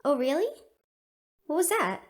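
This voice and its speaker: A woman playing a lively, eager young fighter in a fantasy audio roleplay, playful and expressive with a touch of anxiety. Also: high-pitched, moderate pace. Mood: playful, sheepish.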